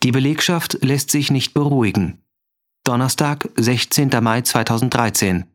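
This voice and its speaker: An actor as a neutral announcer of dates and places in a radio drama, speaking tersely. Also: male voice, mid-range voice, normal speed, close to an everyday voice. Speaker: announcer of scene headings.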